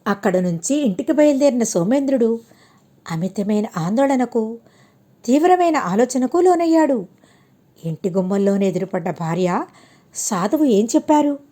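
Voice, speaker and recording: A woman's voice: on the high side, big pitch swings, moderate pace, dark tone. A woman narrating a scripted story as an audiobook storyteller.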